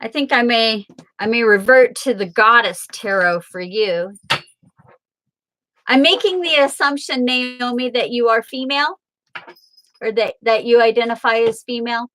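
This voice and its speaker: A woman from Southern Illinois hosts a tarot livestream, chatting and answering viewer comments. Her voice sits a little high and sounds bright and forceful. She talks slowly, and the sound is dry and close. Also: low-quality recording. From Southern Illinois, USA. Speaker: tarot reader and livestream host. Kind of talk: casual livestream chat with viewers.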